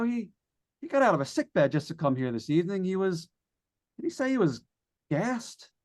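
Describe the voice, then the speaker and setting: A man playing a nervous, lonely radio broadcaster unravelling in a haunted house, stammering and rambling in 1940s radio suspense style. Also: high voice. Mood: nervous chatter. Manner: stammering, halting, rambling with repeated words. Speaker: actor in old-time radio play. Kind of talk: 1940s radio suspense acting, live monologue.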